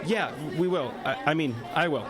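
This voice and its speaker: A man playing an easygoing high school boy in a radio play, talking casually with a slight hesitance. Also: lively intonation, normal speed, a crowd in the background, lightly acted. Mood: nervous, hesitant.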